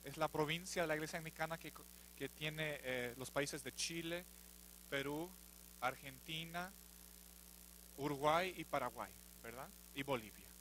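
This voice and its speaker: A man introduces a guest speaker, stopping often as he searches for words. His voice is bright and a little high, picked up from a distance with a faint hum behind it. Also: unhurried. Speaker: event host introducing the speaker.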